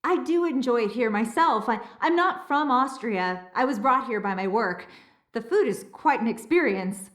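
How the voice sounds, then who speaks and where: A high female voice in a reverberant room, as a scholarly castle historian in an adventure game. She explains things precisely and earnestly, with a slightly flustered, nervous edge. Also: animated, moderate pace, a bit bright. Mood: chatty. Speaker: historian and relic keeper. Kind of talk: video game dialogue, expository.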